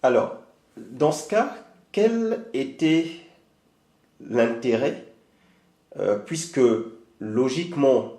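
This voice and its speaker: A man conducting an interview, asking measured questions with filler pauses. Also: medium pitch, big pitch swings, dark tone, echoey room.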